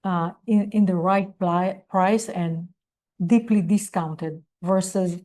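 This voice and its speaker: A woman company executive presenting on an earnings call in a formal, measured business manner. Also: fairly low pitch, fairly even intonation, mellow.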